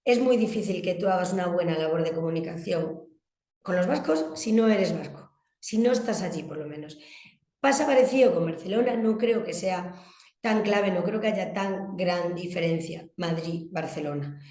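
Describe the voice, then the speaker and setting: A woman's voice: fairly low pitch, moderate pace, mellow, reverberant. A woman from Galicia with a Spanish accent from Spain, giving explanatory, emphatic interview answers and often rephrasing as she goes. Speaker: interviewed guest, communications agency director.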